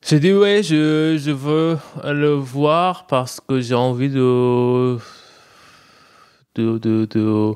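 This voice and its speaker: A man from Iran speaks French slowly, as a guest telling his own story. His voice is smooth and clear at a middle pitch, and he hesitates often, restarting phrases and reaching for English words. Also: fairly even intonation, darkish tone. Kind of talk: personal testimony in conversation.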